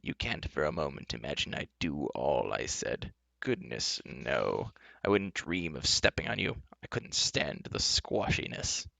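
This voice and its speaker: A man voicing a plump, cheerfully menacing old enchantress from a storybook, coaxing and indulgent as she calmly threatens. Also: low-pitched, normal speed, gravelly, no echo, pitched below the performer's own voice, full character voice, rough recording. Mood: soothing, menacing. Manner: kindly, coaxing, matter-of-fact about threats. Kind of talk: storybook character reading.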